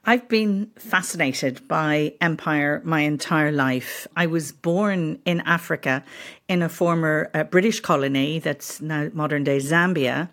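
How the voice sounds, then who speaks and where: A woman historian from Northern Ireland talks through her answers thoughtfully. Her voice sits low and carries a gravelly edge. She pauses, restarts and adds fillers as she goes. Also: a bit bright. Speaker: historian guest. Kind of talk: conversational interview answers.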